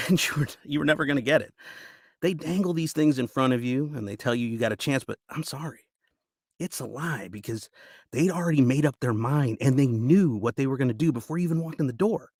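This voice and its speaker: A man's voice: fairly high pitch, slightly rough, no echo, clean studio sound, voice pushed up, full character voice. An American man performing a dramatic monologue as a scrappy, embittered lawyer urging someone on with emphatic intensity. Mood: earnest, sympathetic. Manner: urgent, emphatic, building in intensity. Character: scrappy, embittered male lawyer giving a defiant pep talk. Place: home basement sound booth.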